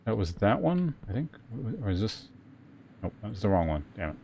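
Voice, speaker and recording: Man, lowish voice, game streamer, casual commentary, thinking aloud in short mutters, light game ambience noise behind. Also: very animated, normal speed, gravelly, a little mellow. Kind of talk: casual live gameplay commentary.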